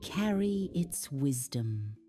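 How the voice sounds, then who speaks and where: Woman as a slow, gentle mossy giant. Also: very deep voice, sing-song, faint music behind, voice pushed down, exaggerated voice. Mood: solemn. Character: gentle male giant of moss and shadow.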